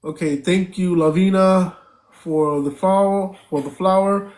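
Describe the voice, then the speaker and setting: A man's voice: sits high, measured pace, darkish tone. A man hosting a live stream, chatting casually, thanking viewers and stopping often with fillers.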